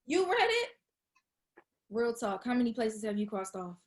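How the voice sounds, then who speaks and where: Playful broke young woman, played by an actress, high voice, slangy and teasing, TV comedy table read. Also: moderate pace. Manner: slangy, animated reactions.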